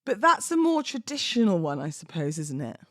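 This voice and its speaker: A British woman hosting a podcast, moving between informal talk and expressive readings of verse. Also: lowish voice, fairly quick, dry recording, clean studio sound. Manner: chatty, informal, giggly. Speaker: podcast host. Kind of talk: casual podcast chat with dramatic poetry readings.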